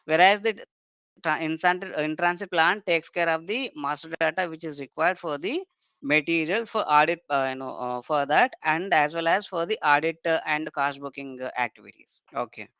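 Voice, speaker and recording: A software trainer walks through technical material step by step. The voice is clean and smooth, with a dry sound free of room echo. The delivery is explanatory, with points often closed by brief checking tags. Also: mid-range voice.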